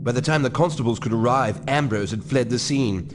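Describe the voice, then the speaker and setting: A man's voice: medium pitch, a little fast, close to an everyday voice. A man playing a nervous, deferential household servant in a video game, confiding in a formal, dramatic way over background noise. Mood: confiding, grave. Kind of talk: video game dialogue, storytelling.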